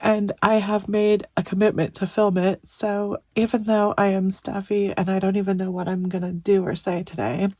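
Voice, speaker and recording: A woman's voice: medium pitch, darkish tone, no echo. A woman in her thirties chatting casually while doing her weekly budget, tired and stuffy, rambling a bit as she works. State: stuffy and exhausted. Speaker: budgeting vlogger.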